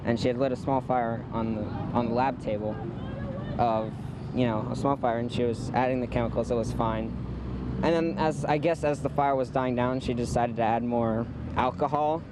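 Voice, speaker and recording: A man's voice: medium pitch, steady tone, moderate pace. A teenage male with an American accent gives an unscripted eyewitness account, searching for his words as he goes. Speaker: student eyewitness being interviewed. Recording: clear outdoor traffic noise.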